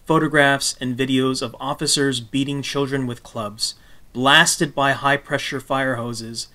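A man reading scripted documentary narration in a measured, phrase-by-phrase manner. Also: medium pitch, steady tone, bright, forceful tone. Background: guitar music.

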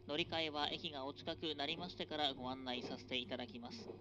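A train conductor making formal, measured announcements over an express train's public address system. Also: male voice, a little high. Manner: formal, measured announcer cadence with drawn-out phrases. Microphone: train PA system recorded from a passenger seat. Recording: dull, noisy onboard PA recording.

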